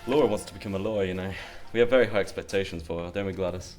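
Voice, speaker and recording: Man playing a pompous, deep-voiced uncle. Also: darkish tone, soft background music, close to an everyday voice. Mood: self-satisfied. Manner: pompous, measured. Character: well-off, boastful, stern middle-aged uncle, car dealer. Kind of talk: radio drama acting.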